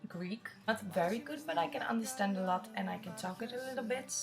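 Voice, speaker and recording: A woman's voice: fairly high pitch, animated, fast, gravelly, music in the background. A woman in her twenties with a Dutch accent, chatting openly as she answers viewer questions in a vlog. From Netherlands. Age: 20s. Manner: chatty, open, rambling storyteller. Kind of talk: casual chat.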